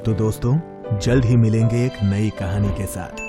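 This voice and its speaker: A man's voice: lowish voice, fairly even intonation, normal speed, music in the background. A man reading scripted narration for a moral story as its narrator.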